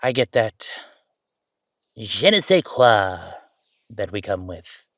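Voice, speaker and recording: A man playing a friendly, mischievous ghost roommate in a casual roleplay audio drama, talking in an excitable, joking, conversational way. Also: lowish voice, slow, no echo, studio quality, full character voice. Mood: playful, excited. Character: friendly male ghost roommate, playful, mischievous, chatty jokester.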